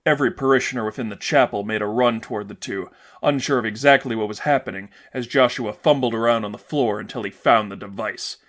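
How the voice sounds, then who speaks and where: A man reading a story aloud as dramatic narration, in a measured, earnest, suspenseful manner. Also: mid-range voice, a bit bright. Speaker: narrator reading fan fiction.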